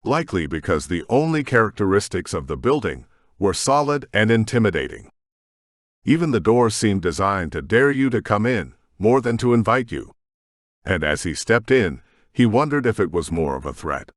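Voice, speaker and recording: Low, expressive male audiobook narrator. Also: moderate pace, dry recording, studio quality. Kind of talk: scripted sci-fi story narration.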